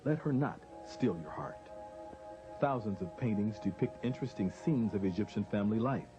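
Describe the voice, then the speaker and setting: A man reading scripted documentary narration in a measured, formal, expressive way. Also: lowish voice. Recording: dull lo-fi audio with music.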